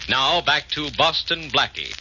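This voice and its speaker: A man's voice: on the high side, expressive, measured pace. A man narrating a scripted mystery as a 1940s radio announcer. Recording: muffled old radio broadcast with music.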